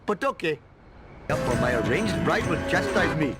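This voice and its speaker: An actor playing an earnest, polite immigrant shopkeeper with an Indian accent and flowery, theatrical phrasing in a video game. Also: male voice, fairly high pitch, very animated, unhurried, over loud music. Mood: indignant. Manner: formal, flowery wording, theatrical.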